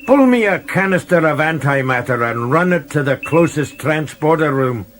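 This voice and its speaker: A man's voice: a little high, lively intonation, moderate pace, fairly bright tone, pitched above the performer's own voice. A man voicing a stubborn, combative young cadet captain giving blunt orders in a science fiction audiobook. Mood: commanding. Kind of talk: audiobook character dialogue.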